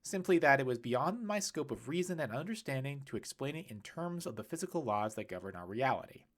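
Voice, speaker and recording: A pedantic man of science, acted out by a male narrator in a storybook reading. He talks in long formal phrases, precise and a little huffy, at a middling pitch. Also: lively intonation, fairly quick, a bit bright.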